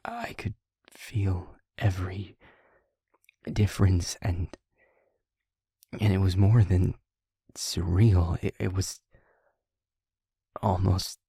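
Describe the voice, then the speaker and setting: A man playing an anxious, tender, protective young vampire boyfriend in an intimate audio roleplay, speaking haltingly and often in a whisper. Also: low voice, fairly even intonation, creaky, mellow, breathy edge, dry recording, studio quality, full character voice. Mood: soft, troubled.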